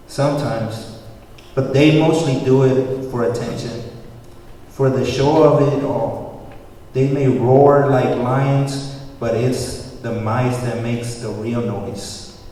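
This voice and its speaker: Man playing a slow-talking streetwise guy, echoey. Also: medium pitch, steady tone, dark tone, close to an everyday voice. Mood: sly, knowing. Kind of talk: screenplay table read. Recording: very echoey hall, distant mic, faint noise.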